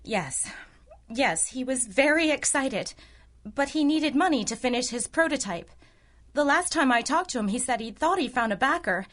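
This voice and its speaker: A helpless damsel in distress in an old-fashioned radio serial. Her high voice is earnest and fretful, gushing and easily flustered. She stays polite and ladylike throughout. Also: animated, moderate pace, fairly bright tone. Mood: anxious, earnest. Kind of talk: melodramatic 1930s-style radio drama acting.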